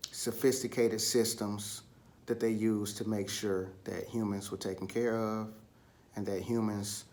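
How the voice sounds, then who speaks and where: Man reading stories aloud to children. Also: on the low side. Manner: addresses children directly with questions. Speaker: children's story reader. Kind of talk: storytelling read-aloud for children.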